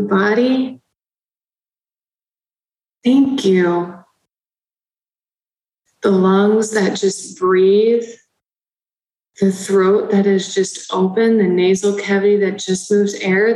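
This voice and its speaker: Female meditation guide, slow soothing delivery, flat even tone, echoey room. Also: medium pitch.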